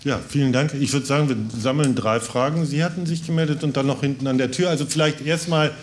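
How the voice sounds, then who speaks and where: A man's voice: mid-range voice, quick pace. A man in his forties moderating a panel informally and with light humour, handing out turns to the audience. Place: conference room at a panel table. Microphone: table microphone. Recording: clear background room noise.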